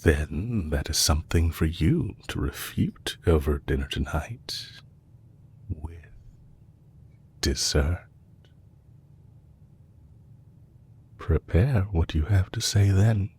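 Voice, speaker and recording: A man playing a composed, courteous villain leader who is possessive and lovestruck, speaking formally and deliberately in an intimate romantic audio roleplay. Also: extremely low pitch, animated, a little mellow, breathy edge, full character voice. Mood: businesslike, teasing. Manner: formal, deliberate, courtly phrasing with long pauses.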